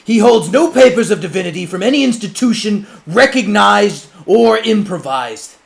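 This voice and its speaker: A man playing an imposing orator in an audiobook, loudly denouncing someone in formal, declamatory, mocking tones with a strained, projected voice. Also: high voice, expressive, moderate pace, vocal fry, pitched above the performer's own voice. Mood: thundering accusation. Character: imposing orator denouncing a preacher, loud, righteous, coldly mocking.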